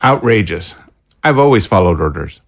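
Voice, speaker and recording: Man playing an indignant scientist, swooping pitch. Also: sing-song, moderate pace. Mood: outraged. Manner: sputtering, incredulous, formal wording. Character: indignant, principled male research scientist, betrayed and stubborn. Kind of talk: audio drama acting.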